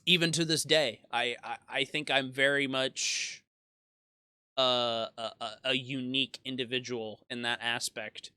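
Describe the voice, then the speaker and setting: A young man in his twenties talks through his memories in a loose, rambling way, often restarting his sentences and poking fun at himself. His voice is bright and forward, and the sound is crisp and smooth. The recording is dry and close. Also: mid-range voice, fairly even intonation, measured pace, dry recording. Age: 20s. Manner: self-deprecating. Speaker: YouTube gaming creator. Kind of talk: casual reflective monologue.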